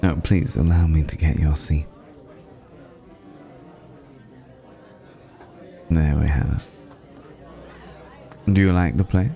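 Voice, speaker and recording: A man playing a suave, flirtatious, ageless vampire in an intimate ASMR roleplay, speaking in a courtly, teasing way with lingering pauses. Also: rumbling low, creaky, warm tone, a faint crowd. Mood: suave, indulgent. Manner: leisurely, courtly, teasing, with hesitant pauses and hums.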